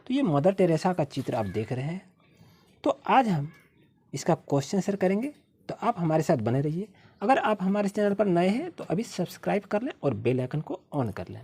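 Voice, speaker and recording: A woman with an Indian accent teaching a school lesson to children, reading English sentences slowly word by word and then explaining them. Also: fairly low pitch, a little mellow, husky.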